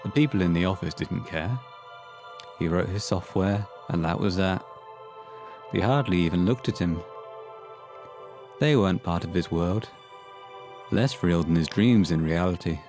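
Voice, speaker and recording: A man with a fairly low voice narrates a scripted horror audio drama. He reads as the storyteller, with faint music playing behind him. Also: a little slow, long pauses, darkish tone.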